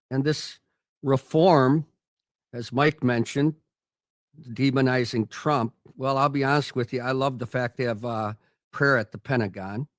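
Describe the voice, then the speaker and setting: Man with a middle-pitched voice preaching hard on a radio show, emphatic, dry mic. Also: dry recording. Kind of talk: preaching commentary.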